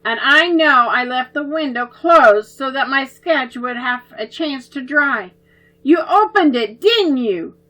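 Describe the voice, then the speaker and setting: A woman's voice: high-pitched, lively intonation, pushed and bright, voice pushed up, heavily acted, poor audio. Woman reading as a stern, fair young man. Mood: reproachful. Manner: firm, measured scolding.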